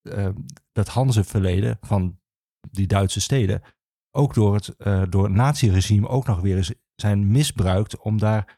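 Male expert guest: dark, soft-edged delivery, very dry close sound, halting explanatory phrasing. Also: fairly low pitch, no room sound. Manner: halting, hesitant phrasing with self-corrections. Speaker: archivist, podcast guest. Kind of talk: explanatory interview talk on history.

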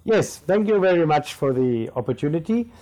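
A man from Namibia, German-native, answering interview questions in a calm, measured way. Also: fairly high pitch. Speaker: guest. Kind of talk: podcast interview.

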